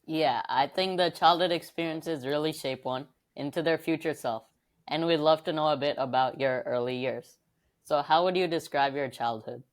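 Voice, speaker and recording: A man's voice: on the high side, normal speed. A man co-hosting a podcast, asking interview questions in a conversational way.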